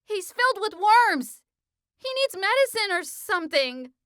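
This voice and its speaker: Audiobook heroine, sixteen, played by a man: high-pitched, tense, defiant, urgent and wary. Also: big pitch swings, measured pace, sharp tone, exaggerated voice. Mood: angry, desperate. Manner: urgent, leery, breaking voice. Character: defiant, frightened sixteen-year-old girl, snappish and pleading.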